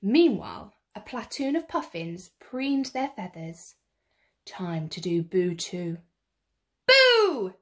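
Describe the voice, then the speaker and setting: A woman reading a children's picture book aloud in an expressive, theatrical way, drawing out the action words. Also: lowish voice, slow pace. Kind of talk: expressive read-aloud storytelling.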